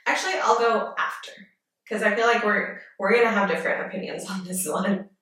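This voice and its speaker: A woman's voice: medium pitch, brisk, a bit bright. A woman hosting a podcast, chatting casually and summing up online posts. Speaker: podcast host. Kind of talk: casual chat and summarizing forum posts. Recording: very echoey, distant from mic.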